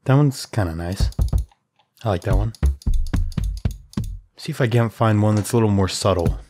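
Young male tutor, slightly raspy, lower register, laid-back conversational delivery, home desk mic. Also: moderate pace, music in the background. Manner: relaxed, chatty, filler-heavy explaining. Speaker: music production tutor. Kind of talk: casual tutorial walkthrough. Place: small room at home.

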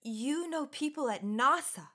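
A woman's voice: sits high, lively intonation, a little slow, pushed and bright, exaggerated voice. A woman playing an eager, anxious young sorority suffragette in a radio drama, earnest and questioning. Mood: eager, surprised.

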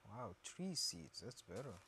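Male gaming host, deep and unhurried, casual self-muttering commentary, slight background noise. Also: sing-song, sharp tone.

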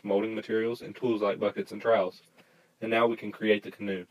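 A man's voice: on the low side, low-quality recording. A young male student narrating a scripted explanation of the design process. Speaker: student presenter.

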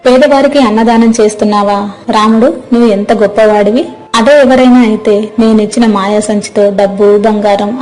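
A woman's voice: medium pitch, a little mellow, natural voice, poor audio. A woman playing a friendly, earnest young mermaid who admires her friend in an acted moral story. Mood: admiring.

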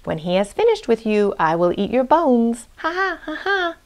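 A woman's voice: medium pitch, sing-song, moderate pace, darkish tone, pitched above the performer's own voice. A woman voicing a scornful, cowardly male hyena in an animal storybook, taunting with bursts of ha-ha laughter. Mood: gloating, menacing.

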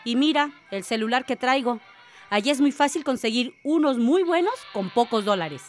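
Woman playing a high-voiced, showy, persuasive aunt. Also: animated, moderate pace, soft background music, close to an everyday voice. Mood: boastful. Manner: boastful, persuasive. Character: showy, persuasive aunt back from working abroad. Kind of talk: radio drama acting.

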